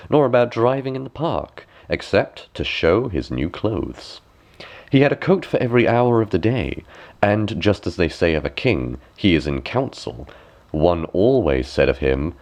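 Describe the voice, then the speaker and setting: A man with a deep voice tells a scripted fairy tale. His delivery is expressive and highly varied in pitch, with measured phrases set out deliberately for the listener. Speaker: storyteller.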